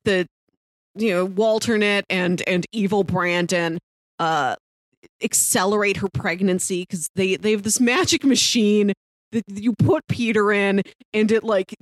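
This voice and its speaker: A woman's voice: mid-range voice, dry recording. A woman co-hosting a casual discussion of a TV series, recapping in an emphatic way and piling up phrases. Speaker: podcast co-host.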